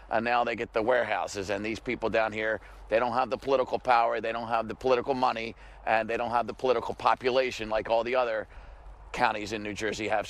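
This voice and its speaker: A man's voice: medium pitch, fairly bright tone. Conversational middle-aged male field reporter. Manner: conversational, emphatic storytelling. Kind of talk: live TV news report. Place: outdoors by a grassy field.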